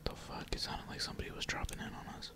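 A gamer whispering slangy, laid-back ASMR commentary while playing, with casual swearing. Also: very fast. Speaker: gaming streamer.

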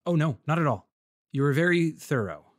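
An actor playing a polite, measured freelance journalist who narrates his investigation in a fiction podcast. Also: on the high side, lively intonation, no echo, clean studio sound, lightly acted. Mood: polite, careful. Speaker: narrator and lead character.

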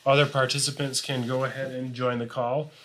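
A man presenting an unscripted software tutorial, explaining things informally step by step. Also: mid-range voice, flat delivery, brisk, rough recording.

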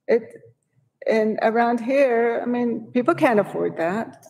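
Woman with a mellow voice in a reverberant hall. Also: a little high, lively intonation, slow pace. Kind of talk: council meeting discussion. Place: large council chamber with flags.

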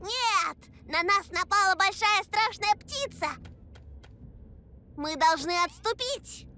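A woman plays a playful little cartoon boy, eager and imaginative. The voice is very high and animated, unhurried, over music. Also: full character voice. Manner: eager, play-acting. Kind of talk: animated series dub.

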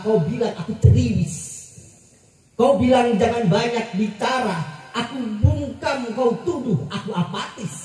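A man reciting a protest poem with dramatic, declamatory delivery at a village stage performance. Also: high-pitched. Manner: declamatory, rising to shouts. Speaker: poetry reader. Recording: echoey stage PA from far off.

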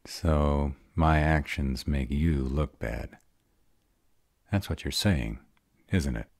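Man playing a very deep-voiced sarcastic vampire. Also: slow pace, a little mellow, no echo, clean studio sound, heavily acted. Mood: defensive, wry. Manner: sarcastic, wry. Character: sarcastic grown male vampire, guarded, insecure, starved of love. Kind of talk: audio roleplay acting.

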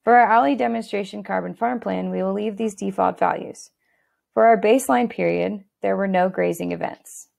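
Gravelly-voiced woman explaining software steps. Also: medium pitch, moderate pace. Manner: steady instructional tone with occasional fillers and restarts. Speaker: software tutorial narrator. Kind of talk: screen-recorded software walkthrough.

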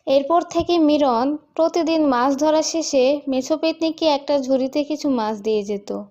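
A woman with a high voice narrates a folk tale for a cartoon. Her delivery is scripted storytelling. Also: moderate pace, darkish tone, highs cut off.

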